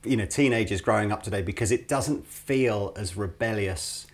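A man's voice: fairly low pitch, normal speed. A man hosting a casual podcast interview, asking long, rambling questions full of fillers. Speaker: podcast host.

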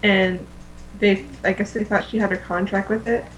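A woman's voice: mid-range voice, flat delivery, normal speed, low-quality recording. A woman chatting informally as a podcast co-host in an offhand, conversational way. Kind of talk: casual chat.

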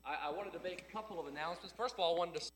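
A man's voice: sits high, racing, heavy background noise. A male pastor, congested from a lingering cold, giving a friendly, conversational sermon with announcements into a pulpit microphone in a church. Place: church sanctuary. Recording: long church-hall reverb.

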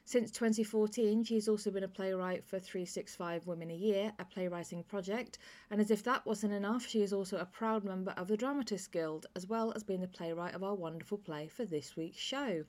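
Medium-pitched woman presenting a podcast. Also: fairly quick, hardly any pauses, darkish tone. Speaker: podcast host. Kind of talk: scripted introduction.

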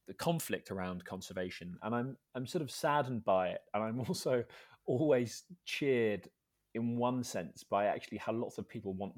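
A young man from Scotland, a journalist and author, talking as a guest in a relaxed interview, thoughtful and hesitant with many ums and restarts. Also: lowish voice, no room sound.

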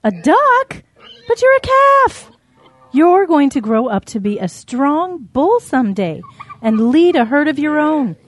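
A woman's voice: sits high, sing-song, measured pace, no echo. A woman voicing a fretful, caring mother cow in an audio drama, motherly and exclaiming. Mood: bewildered.

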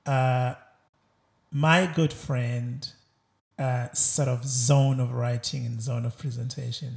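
A man hosting a podcast interview in a casual, halting and measured way. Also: medium pitch, creaky, dark tone. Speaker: podcast host. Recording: roomy echo.

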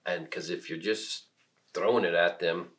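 A middle-aged man with a deep voice talks through ideas in conversation. He is reflective and often halts to find the right word, as a minister would in an informal discussion. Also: steady tone, fairly bright tone. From Peru. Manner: reflective, halting, searching for words.

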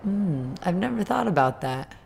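A woman with a raspy voice from old throat surgery, talking casually with lots of fillers as an interview guest. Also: fairly low pitch, sing-song, normal speed, a little mellow, a little hiss or hum. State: throat scar tissue, tires easily. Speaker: interview guest, retreat leader. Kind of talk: casual conversation.

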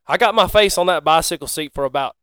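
A man's voice: on the high side, fast, fairly bright tone, clean tone, dry recording, studio quality. A man with a Southern US accent telling stories in casual podcast chat, in a folksy, joking way.

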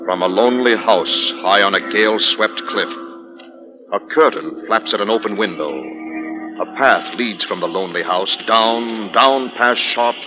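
A man's voice: fairly low pitch, moderate pace, over music. A man announcing and narrating in a formal, emphatic, dramatic 1940s radio style. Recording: dull old radio recording.

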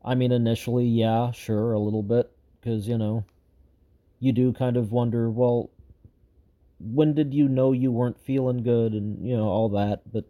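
A man hosting a show gives an unscripted update to viewers, rambling and frustrated, with lots of fillers and restarts, and he sounds slightly hoarse. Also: medium pitch, steady tone, moderate pace, dark tone. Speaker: show host giving an update.

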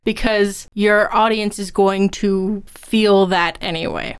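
Very clear-voiced woman discussing writing. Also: mid-range voice, steady tone, slow. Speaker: co-host of a writing podcast; writing instructor and author. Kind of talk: conversational craft discussion.

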